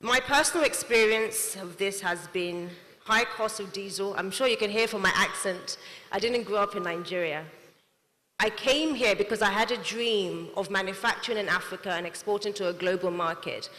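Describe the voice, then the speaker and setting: A woman speaking as a manufacturer on a conference panel, telling a story in a measured, vivid way. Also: mid-range voice, pushed and bright. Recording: long hall reverb.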